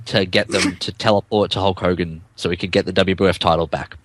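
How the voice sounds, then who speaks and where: A man's voice: low-pitched, steady tone, brisk, no room sound. A man co-hosting and narrating a comic dramatic reading, mock-dramatic and often stumbling over his words. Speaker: podcast co-host and narrator.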